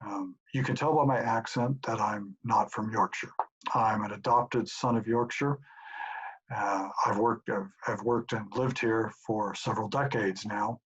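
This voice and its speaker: A middle-aged professor calmly explaining his answers to questions sent in by an audience. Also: fairly low pitch, slightly rough. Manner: measured, explanatory. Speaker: professor and institute director. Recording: dull lo-fi home recording.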